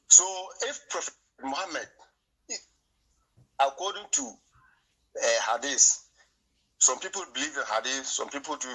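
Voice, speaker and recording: A man from Ghana with a Ghanaian accent, Twi his first language, arguing his view in a heated debate, halting and repeating himself. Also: medium pitch, lively intonation, pushed and bright, slightly rough, rough recording. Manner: repetitive, halting, argumentative. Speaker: guest.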